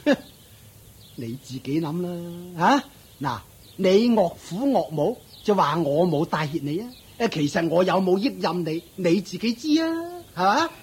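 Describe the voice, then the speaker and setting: A man's voice: high-pitched, very animated, a little mellow, slightly rough, full character voice. A man with a Hong Kong Cantonese accent playing a scornful, nagging uncle who runs a shop, mocking and belittling in a radio drama. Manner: mocking, belittling, drawn-out taunts.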